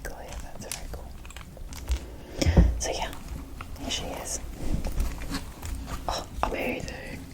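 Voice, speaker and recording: A woman whispering as an ASMR host, rambling softly in short admiring remarks during a show-and-tell. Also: slow, long pauses, rough recording.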